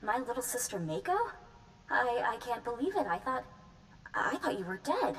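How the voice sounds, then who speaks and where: An actress playing an emotional, tender older sister in a video game, speaking haltingly in disbelief. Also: female voice, a little high, big pitch swings, slight background noise, rough recording. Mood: stunned.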